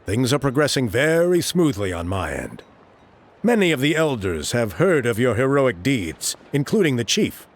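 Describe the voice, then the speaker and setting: An old tribe elder in a game, genial but pushy, raspy lowish voice, swoops up and down, kinda formal. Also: male voice, sing-song, moderate pace. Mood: persuasive. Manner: formal, smooth-talking, expansive. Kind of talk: video game character acting.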